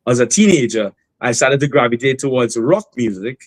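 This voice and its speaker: A man, a guest singer on a remote call, telling his story in a relaxed, rambling way with many fillers and restarts. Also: medium pitch, fairly quick, no echo. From Trinidad and Tobago. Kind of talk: casual interview storytelling.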